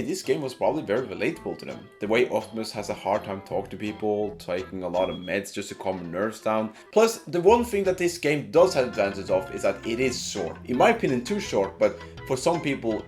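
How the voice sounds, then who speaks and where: Animated low-voiced man reviewing games. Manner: informal, slangy. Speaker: video game reviewer. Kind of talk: casual narrated game review.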